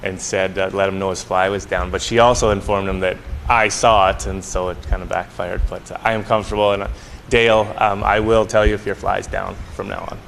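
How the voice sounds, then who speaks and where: Canadian male colleague, casual and hesitant anecdote, even pitch, faint background noise. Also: vocal fry. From Canada, Alberta. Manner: halting, self-correcting. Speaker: colleague giving a tribute.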